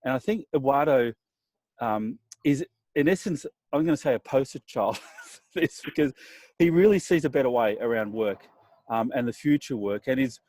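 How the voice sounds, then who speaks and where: A man's voice: mid-range voice, darkish tone, no echo. A man earnestly introducing a leadership webinar, speaking with frequent fillers. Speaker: business partner introducing the webinar.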